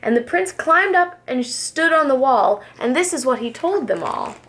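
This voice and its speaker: A woman with a fairly high voice reads a rhyming storybook to young children. Her delivery is very animated, swinging widely in pitch, and she phrases each line with deliberate, expressive care. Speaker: preschool teacher reading a storybook aloud. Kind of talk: children's storybook read-aloud in rhyme.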